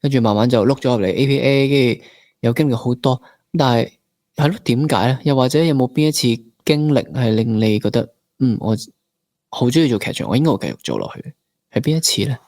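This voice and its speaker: A man with a Hong Kong Cantonese accent and a medium-pitched voice hosts a podcast interview. He puts questions conversationally, in a very dry recording. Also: expressive, quick pace, a little mellow, dry recording.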